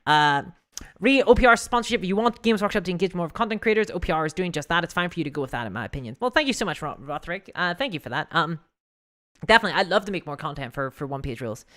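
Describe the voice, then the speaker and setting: A livestream host with a hoarse voice, chatting casually and self-deprecatingly about tabletop games and answering viewers. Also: mid-range voice, lively intonation, quick pace, fairly bright tone. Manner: giggly, laughs often while talking.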